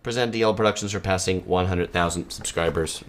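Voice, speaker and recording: Male travel host, low-pitched, unhurried, filler-heavy conversational delivery, live Q&A feel. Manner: relaxed, conversational, many ums and uhs. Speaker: travel channel host answering viewer questions. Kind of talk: casual live Q&A. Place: home studio.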